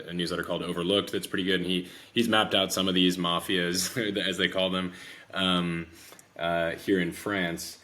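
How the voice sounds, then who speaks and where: A man's voice: deep voice, a bit bright. An American man hosting a conversational interview, asking thoughtful, long-winded questions. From United States. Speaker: podcast host and interviewer.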